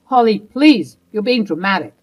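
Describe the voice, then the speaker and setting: A woman's voice: mid-range voice, expressive, normal speed, a little mellow, lightly acted. A woman voicing a cheating adult husband who stammers and pleads evasively. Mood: pleading.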